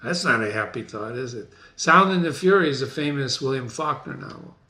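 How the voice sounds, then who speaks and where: A man hosting a dramatic play reading, breaking off for informal, animated asides and explanations. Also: medium pitch, brisk, reverberant. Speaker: reader and host. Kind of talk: dramatic reading with casual commentary.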